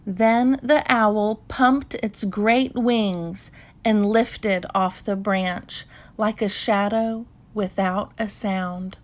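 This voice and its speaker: Very slow-paced woman reading a bedtime story. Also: mid-range voice, fairly even intonation. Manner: measured, unhurried storytelling with pauses. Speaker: children's story reader. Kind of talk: calm bedtime read-aloud narration.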